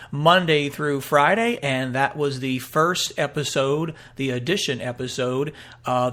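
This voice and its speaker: A man's voice: mid-range voice, a bit bright. A man presents a live radio show in a mid-range voice. His delivery is unscripted and rambles loosely from point to point.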